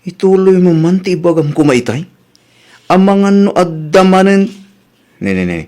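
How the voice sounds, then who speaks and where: A somewhat high male voice with an Ilocano accent as a man acts out a nagging village husband. He questions and accuses with steady suspicion, in comedy-drama style. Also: moderate pace. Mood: suspicious.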